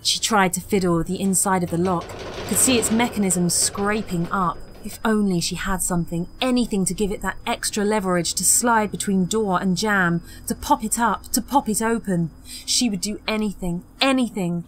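A woman's voice: medium pitch, moderate pace, a little hiss or hum, low-quality recording. A woman reading a scripted horror audiobook as narrator, with a dramatic, suspenseful delivery.

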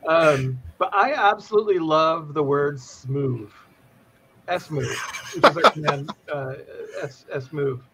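A man's voice: on the high side, takes it slowly, a bit bright. A man, chatting casually as co-host on a live stream. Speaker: co-host, developer advocate. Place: indoor room, on a video call. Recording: dull, noisy webcam audio.

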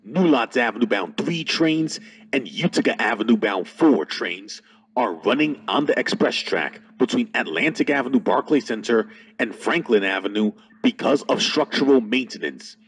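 Slightly husky man making station announcements. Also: medium pitch, lively intonation, rough recording. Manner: formal, measured announcing. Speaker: transit announcer. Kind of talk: scripted station service announcement.

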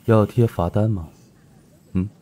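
A man playing a cool, terse, gently teasing rich young businessman in an audio drama, with a husky voice. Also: fairly even intonation, measured pace, natural voice. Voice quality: husky, low.

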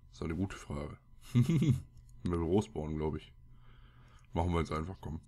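A man's voice: low voice, animated, unhurried, warm tone. A man with a cold and a scratchy throat, giving casual, colloquial gameplay commentary as he mutters to himself. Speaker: let's play host.

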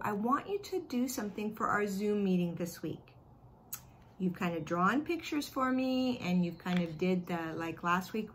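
Middle-aged woman, American accent, expressive teacher talk for small children, medium pitch, faint noise. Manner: expressive, simple explaining with questions to children. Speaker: kindergarten teacher. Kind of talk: read-aloud and chat to young children. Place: living room at home.

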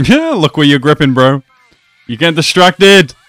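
A man's voice: a little high, big pitch swings, moderate pace, a bit bright, dry recording, soft background music. A man in his twenties giving slangy, amused reaction commentary in a casual way, close to a desk microphone. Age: 20s. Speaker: reaction video host. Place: small indoor room.

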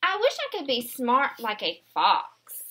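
A small, wistful chameleon from a children's storybook, voiced by a woman reading aloud. The voice is high and swings expressively, full of longing. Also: huge pitch swings, unhurried, fairly bright tone, a little hiss or hum, full character voice. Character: small chameleon, wistful, wishing to be other animals.

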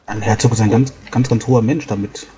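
A man chatting in character as a tabletop player, speaking haltingly and stumbling over his phrases. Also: fairly low pitch, steady tone, a crowd in the background. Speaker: tabletop role-playing player. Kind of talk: in-character casual chat.

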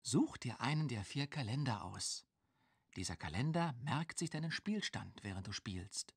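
A man playing a friendly narrator who gives scripted instructions in a children's game with a husky voice. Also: mid-range voice, expressive, moderate pace, no echo, studio quality. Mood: helpful.

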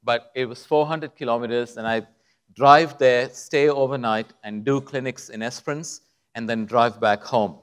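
A man's voice: mid-range voice, steady tone, moderate pace, clean tone. A man in his fifties, born in Kuwait to South Indian parents, with a mixed Indian and Middle Eastern accent, giving a friendly, lightly humorous talk at a podium mic in a large auditorium. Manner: friendly storytelling with light humour. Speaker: physician giving a testimony talk. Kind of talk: conference talk.

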